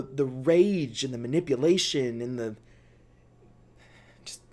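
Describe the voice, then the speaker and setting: A man playing a shaken, traumatized researcher in an audio roleplay, speaking in a halting, stammering monologue. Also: medium pitch, a little mellow. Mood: intense, dread-filled. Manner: halting, stammering, faltering delivery. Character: shaken, traumatized male researcher recounting torment. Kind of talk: ASMR roleplay acting, intimate monologue.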